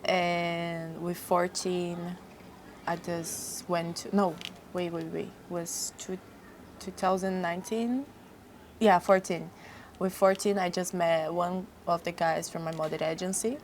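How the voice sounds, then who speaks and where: A young woman from Brazil with a Brazilian Portuguese accent, speaking English as a second language, chatting as a podcast guest with halting phrasing. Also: fairly low pitch, steady tone, slight background noise. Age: young adult. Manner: halting non-native phrasing, frequent laughs. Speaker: guest model. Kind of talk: casual interview chat.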